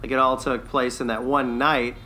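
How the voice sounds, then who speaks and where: A man co-hosting a podcast in casual chat, with an informal, loose and halting delivery. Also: mid-range voice, little pitch movement, sharp tone, slight background noise. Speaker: podcast co-host.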